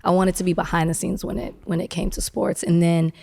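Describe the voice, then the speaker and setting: Brief: thirties woman, soft and soothing, a touch low, easy chatty guest. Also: little pitch movement, fairly quick, creaky. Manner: chatty, laughs easily. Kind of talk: casual interview chat.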